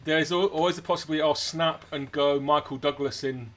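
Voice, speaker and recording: A man's voice: a little high, steady tone, fairly quick, a bit bright. A man from Scotland interviewing casually, with a dry, wry sense of humour. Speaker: interviewer, jazz musician.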